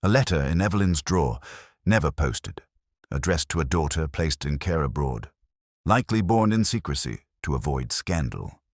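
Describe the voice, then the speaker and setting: A man voicing a grave, incisive detective in an audiobook, speaking precisely and deliberately. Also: a bass voice, animated, darkish tone, dry recording, clean studio sound, heavily acted.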